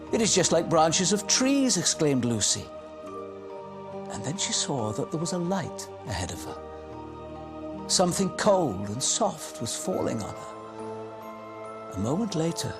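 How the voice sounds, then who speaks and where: A man giving an expressive narration of a children's fantasy story, with music behind him. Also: a little high.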